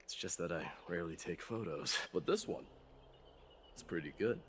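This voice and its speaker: Deep, solemn warrior prince. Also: male voice, animated, a little hiss or hum. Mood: awkward, softened. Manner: formal, measured, dignified. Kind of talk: scripted video game dialogue. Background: game music.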